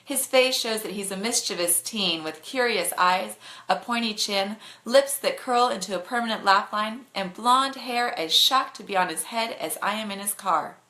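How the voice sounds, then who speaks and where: A woman narrates a bedtime story for listeners online. Her voice is bright and clear in its projection, at a middle pitch, and her reading is expressive. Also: normal speed. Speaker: author reading to online viewers.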